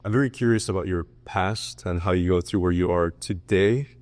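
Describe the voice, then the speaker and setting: A man's voice: deep voice, moderate pace, darkish tone, dry recording. A male podcast host asking a guest long, curious, open questions. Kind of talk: interview questions.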